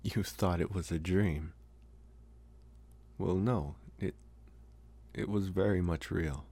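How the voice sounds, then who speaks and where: Casting: man as infatuated college-age vampire for ASMR roleplay, polite, reassuring, very low with heavy fry. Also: dark tone, echoey room, big-room sound. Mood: casual, teasing. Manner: slow, reassuring, hesitant with deliberate pauses.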